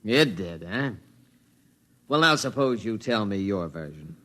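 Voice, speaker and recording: A man's voice: lowish voice, very animated, a little slow, sharp tone, heavily acted. A man playing a skeptical desk sergeant who questions curtly, in 1950s radio drama style. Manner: curt, doubtful questioning.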